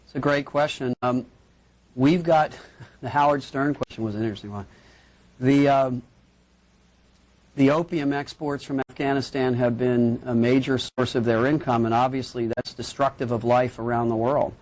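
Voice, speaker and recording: A man answering as a guest on a political call-in show, composed and deliberate. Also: mid-range voice, fairly even intonation, darkish tone, rough recording.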